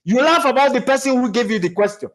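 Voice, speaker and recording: High-voiced man coaching on public speaking. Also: fast talker. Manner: animated, with many restarts and stammered repeats; laughs while talking. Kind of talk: motivational talk.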